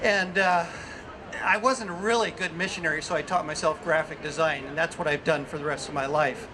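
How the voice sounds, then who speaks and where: A man's voice: fairly high pitch, steady tone. Older man speaking over street noise. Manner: earnest, measured, repeats phrases. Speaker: festival speaker. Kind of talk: outdoor public speech. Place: outdoors by a street. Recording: outdoor speech with traffic noise.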